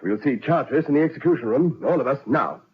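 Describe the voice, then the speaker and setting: An actor playing a stern major, commanding and accusatory, in an old radio drama. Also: male voice, medium pitch, expressive, fast, heavy background noise, natural voice. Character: stern, insistent male major interrogating suspects. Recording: muffled, echoey old radio recording.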